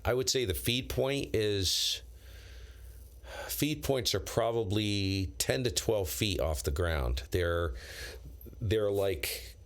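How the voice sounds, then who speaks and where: American man, very low pitch, ham radio streamer, casual unscripted chat, rambling, blunt, slangy. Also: slow pace. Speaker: amateur radio operator live streaming. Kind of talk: casual unscripted chat with viewers, on-air call signs.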